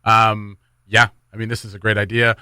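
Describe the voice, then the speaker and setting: A man's voice: on the low side, little pitch movement, moderate pace, sharp tone, clear, resonant tone, no echo, studio quality. A man hosting a morning radio show, chatting casually and jokingly, rambling loosely between community announcements.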